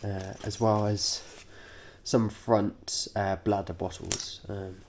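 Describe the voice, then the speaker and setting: A man speaks in a fairly low, nasal voice, congested from a cold. He takes his time, moving very slowly through short, halting phrases. The delivery is informal and offhand, like a vlogger showing his gear. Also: little pitch movement, a little mellow, a little hiss or hum. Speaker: cyclist presenting his race kit. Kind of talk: informal vlog, kit walkthrough.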